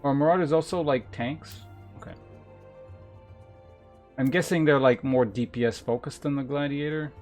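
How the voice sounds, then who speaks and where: A man streams a game in an average-pitched voice. He talks informally, moving between reading on-screen text and thinking aloud. Music plays clearly behind his voice. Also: steady tone, normal speed, long pauses, darkish tone.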